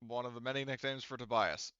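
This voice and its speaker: Clear-voiced man, dry slightly dull mic. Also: medium pitch, fairly even intonation, quick pace, sharp tone, dry recording. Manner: explanatory, thinking aloud. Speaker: game master. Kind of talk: casual rules narration at a tabletop roleplaying game.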